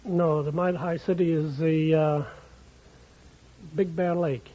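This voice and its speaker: A man's voice: a little high, fairly even intonation, a little slow. A man answering questions as a contestant on a 1950s radio quiz show. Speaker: quiz contestant, diamond merchant. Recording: noisy muffled 1950s radio, studio audience. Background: studio audience.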